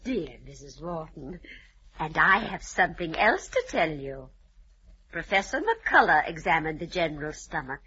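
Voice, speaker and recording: A woman's voice: deep voice, very animated, moderate pace, pushed and bright, husky, full character voice. A woman acting a widow confiding in her dear friend in a 1950s radio drama. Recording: muffled vintage radio broadcast recording.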